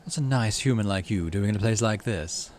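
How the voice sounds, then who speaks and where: A man's voice: lowish voice, a little fast, a little mellow, slight background noise, lightly acted. A man voicing a sly, teasing male stranger in a sci-fi game. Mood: sly, warning.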